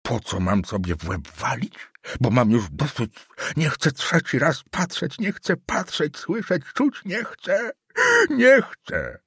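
A man voicing a cranky old male official who rants in a shrill, slow voice. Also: huge pitch swings, slightly rough, no room sound, clean studio sound, exaggerated voice. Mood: furious. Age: older. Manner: shrill, slow, shouting tirades. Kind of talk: audiobook character voice.

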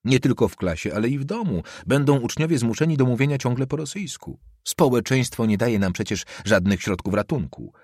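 A man's voice: medium pitch, lively intonation, brisk, few pauses, a little mellow, breathy edge, no room sound, studio quality, voice pushed up. A man voicing a fiery young male student who argues earnestly. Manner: earnest, heated.

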